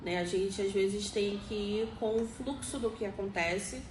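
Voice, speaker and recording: A woman's voice: mid-range voice, a little slow. A forty-year-old Brazilian woman with a Rio de Janeiro accent, explaining things conversationally as an interviewee and often checking in with little tag questions. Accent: Rio de Janeiro Brazilian Portuguese. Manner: explanatory, frequently seeks agreement with tag questions. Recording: echoey room, faint background noise.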